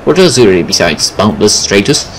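A man's voice: fairly low pitch, very animated, fairly quick, poor audio. A man, a native Polish speaker, hosting a game let's play, reading aloud and commenting casually. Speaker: gaming video host. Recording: faint game music behind.

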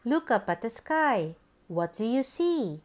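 A woman reading a picture book aloud for young children, with simple, deliberate, expressive phrasing. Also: a little high. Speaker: children's book reader, channel host.

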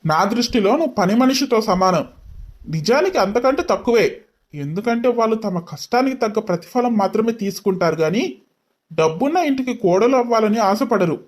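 Woman doing a scornful, sarcastic young husband, lowered voice. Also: animated, a little fast. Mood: contemptuous. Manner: sarcastic, drawling. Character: scornful rich young husband, cold and mocking.

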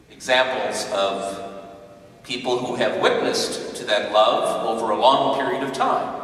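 An older man preaches slowly and deliberately from a church lectern, circling back to the same refrains. His voice rings through a big reverberant sanctuary, picked up from some distance away by the camera. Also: mid-range voice, pushed and bright. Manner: measured, repeating refrains. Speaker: priest. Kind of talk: homily. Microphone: stand mic on lectern, far camera. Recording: echoey church from afar.

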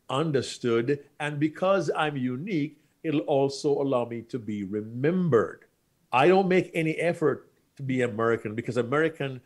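Middle-aged Jamaican-accented man, husky and emphatic, speaking by video call. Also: mid-range voice, a little mellow. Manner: emphatic, repeats key lines. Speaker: guest speaker. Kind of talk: animated panel answer. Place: studio with plain backdrop. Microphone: video call webcam.

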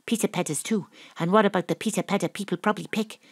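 Wry, husky female private detective. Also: mid-range voice, fast talker, no room sound, clean studio sound. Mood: brisk, wry. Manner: theatrical, relishing alliteration. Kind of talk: first-person detective audio drama narration and dialogue.

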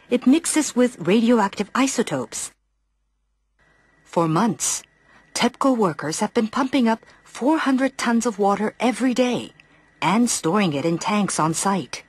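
Female news narrator, medium pitch, slightly rough husky tone, scripted delivery. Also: lively intonation, measured pace. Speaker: news reporter.

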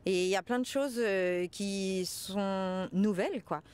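A woman's voice: mid-range voice, a little slow, darkish tone, clean tone. Woman, thirties, mid-range voice, conversational interview delivery. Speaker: actress being interviewed. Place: outdoors in front of a large mansion. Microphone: small mic held out by interviewer.

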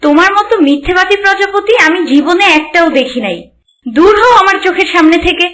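A woman's voice: very high-pitched, animated, fairly quick, fairly bright tone. A woman voicing a haughty, easily wounded young princess in a cartoon fairy tale. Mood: angry. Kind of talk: cartoon voice acting.

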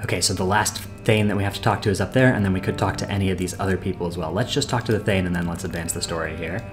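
A man's voice: deep voice, steady tone. A young man hosting a game playthrough, reading character dialogue aloud in a plain voice and then chatting casually about his choices. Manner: reads character lines plainly, then chats casually with pauses and fillers. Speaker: let's play host. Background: game music.

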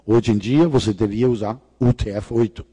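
A man's voice: on the low side, steady tone, moderate pace, warm tone, slightly rough. Danish-accented man lecturing in a hall. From Denmark. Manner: jokey, irreverent, swears casually; non-native grammar slips. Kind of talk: conference talk. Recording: reverberant hall.

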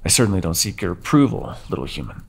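A man voicing a brooding ancient male vampire who holds a human captive, speaking in a soft, measured, confiding way for an ASMR audio roleplay. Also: low-pitched, sing-song, gravelly, breathy edge, exaggerated voice. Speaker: voice actor.

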